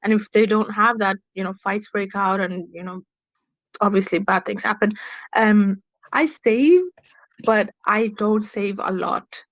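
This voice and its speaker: A woman's voice: medium pitch, measured pace, dry recording, muffled recording. A young woman hosting a podcast, chatting casually and often restarting her sentences. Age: young adult. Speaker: podcast host. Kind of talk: casual chat.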